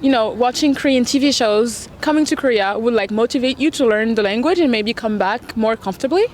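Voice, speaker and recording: A woman's voice: on the high side, fairly quick. Woman, french accent, playful interviewer, outdoors, light traffic hum. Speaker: street interviewer. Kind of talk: street interview. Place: city street outdoors. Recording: street noise with traffic.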